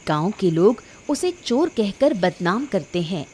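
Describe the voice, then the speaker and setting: A woman's voice: medium pitch, sing-song, unhurried, mellow, slight background noise. A woman giving scripted narration for a cartoon moral story.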